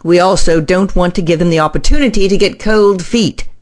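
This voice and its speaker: A woman's voice: fairly low pitch, brisk, lightly acted. A woman acting an earnest, eager-to-please scientist in an audio drama. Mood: eager, diplomatic.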